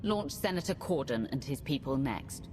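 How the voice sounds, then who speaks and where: An actress playing a resolute military commander with a conscience in a video game, speaking firmly and with command. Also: female voice, very deep voice, expressive, moderate pace. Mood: urgent. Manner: firm, commanding. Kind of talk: video game cutscene acting. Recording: game music under the voice.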